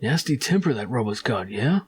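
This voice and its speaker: A man voicing a slick, pushy insurance salesman in an adventure game, husky and wheedling. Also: medium pitch, sing-song, moderate pace. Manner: wheedling sales patter with questioning tags.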